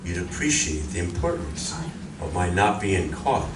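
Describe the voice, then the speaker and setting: A grave, earnest alien man, voiced by a male actor in a radio play. His voice is deep and measured, in an echoey room with clear background noise. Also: big pitch swings. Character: grave, earnest male spaceman visiting Earth. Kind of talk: live radio drama acting. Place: library community room, live performance. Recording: echoey community room, distant, noisy.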